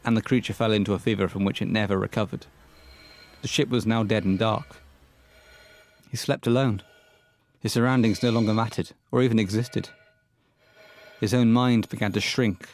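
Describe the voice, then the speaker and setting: A man narrating a scripted audio horror play in a measured, literary storytelling manner. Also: lowish voice, steady tone.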